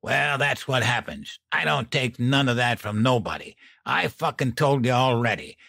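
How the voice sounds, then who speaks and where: A male reader acts out a mean, drunken smoker in an audiobook. His voice is raspy and gravelly, with coarse slang snarled out in a bullying, sneering way. The sound is close and dry. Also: medium pitch, moderate pace, pushed and bright, no echo, pitched above the performer's own voice. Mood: menacing. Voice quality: raspy, croaking. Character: violent, sneering, drunken smoker, man with criminal past.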